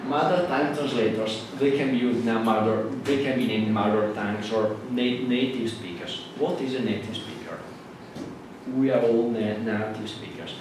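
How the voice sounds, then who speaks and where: A man with an Italian accent, a native Italian speaker, giving a classroom lecture in a halting way with many fillers and restarts. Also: fairly low pitch. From Italy. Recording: echoey hall from far off with noise.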